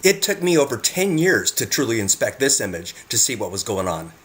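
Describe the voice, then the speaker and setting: A man's voice: medium pitch, very animated, fairly quick, a bit bright. Earnest male narrator addressing the viewer. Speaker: narrator presenting own photographs. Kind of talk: scripted narration.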